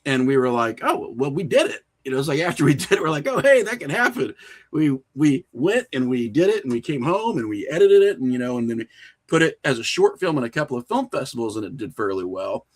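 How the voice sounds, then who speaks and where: A middle-aged American man telling stories casually as an interview guest, animated and often acting out imagined lines of dialogue. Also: mid-range voice, fast. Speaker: podcast guest, independent filmmaker.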